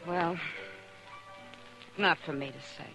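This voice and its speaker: A woman's voice: fairly low pitch, slow, over music, rough recording. An American woman playing a worldly, sympathetic saloon woman in a 1950s radio drama, wry and familiar in manner.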